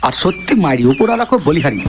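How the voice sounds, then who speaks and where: A man voicing a fast-talking, scolding cartoon village guy. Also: fairly high pitch, very animated, noisy background. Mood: indignant. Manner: scolding and lecturing, foul-mouthed. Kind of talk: comedy cartoon dialogue.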